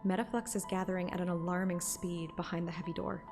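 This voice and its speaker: A woman's voice: fairly low pitch, flat delivery, fast, over music. A woman narrating a story like an audiobook, with casual streamer asides. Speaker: streamer reading a game story aloud.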